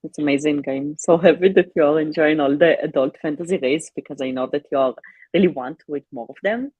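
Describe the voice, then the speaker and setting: A woman's voice: low-pitched, quick pace, mellow, husky, dry recording, highs cut off. A woman who speaks English as a second language, chatting casually on a book livestream and often searching for her words. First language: not English. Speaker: co-host of a livestreamed reading sprint. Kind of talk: casual unscripted livestream chat about books.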